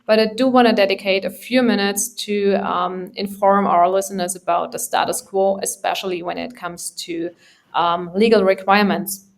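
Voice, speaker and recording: A woman's voice: mid-range voice, steady tone, moderate pace, creaky. A woman hosting a podcast interview, hesitant with frequent ums and restarts. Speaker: podcast host.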